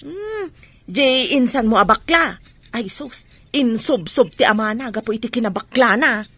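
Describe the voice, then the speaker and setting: Radio drama: actress as gossipy store woman, prying and exclamatory, high sharp voice. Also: expressive, measured pace, husky, exaggerated voice. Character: nosy, gossipy mother minding a neighborhood store. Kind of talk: Ilocano radio drama acting.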